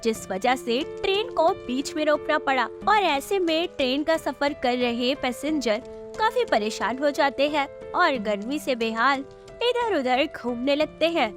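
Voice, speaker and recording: A woman's voice: high voice, big pitch swings, a little slow, over music. A woman reading scripted narration for a moral story as its narrator.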